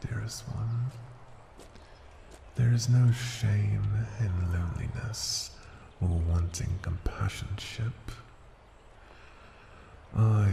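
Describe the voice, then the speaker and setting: Man voicing a very deep, slow, seductive ancient dragon. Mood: tender, intimate. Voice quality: breathy, often dropping to a whisper. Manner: slow, deliberate, teasing endearments. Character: ancient male dragon familiar, seductive, possessive, condescending. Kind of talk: ASMR fantasy roleplay. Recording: roomy echo, noisy ambience.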